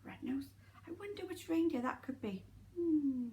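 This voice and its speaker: A woman's voice: high voice, moderate pace, a little hiss or hum. A woman reading a children's story expressively and dramatically, with asides straight to the young listeners. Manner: animated, dramatic, addresses children directly. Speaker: nursery teacher reading a story.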